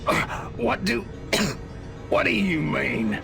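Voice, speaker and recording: Gravelly, high-pitched blustering army general. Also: male voice, big pitch swings, drawn-out words, music in the background, exaggerated voice. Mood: defeated, wary. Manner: blustering, overdramatic. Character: bombastic, paranoid 1950s American military general, male. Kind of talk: video game cutscene acting.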